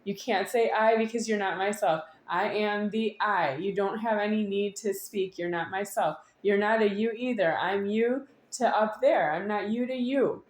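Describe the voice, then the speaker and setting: A woman giving a rambling, unscripted monologue to an audience, halting at times with drawn-out words and insistent repetition. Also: medium pitch. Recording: echoey room.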